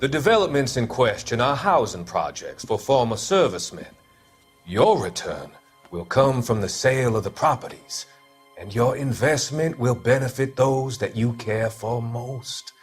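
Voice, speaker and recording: An actor playing a calculating doctor in a video game, speaking in a measured, formal, patronizing way. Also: male voice, mid-range voice, animated, husky, faint music behind. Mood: smooth persuasion. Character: smooth, calculating male doctor, formal confidant and schemer. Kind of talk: video game dramatic acting.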